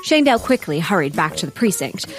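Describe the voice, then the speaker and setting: A woman's voice: on the low side, big pitch swings, a little fast, music in the background. Wry woman narrating a comedy. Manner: wry, playful.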